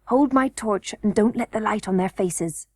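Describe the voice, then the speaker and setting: A woman voicing a brave, practical young boy miner, hushed, cautious and matter-of-fact, in a children's storybook reading. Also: mid-range voice, moderate pace, no room sound, studio quality, natural voice.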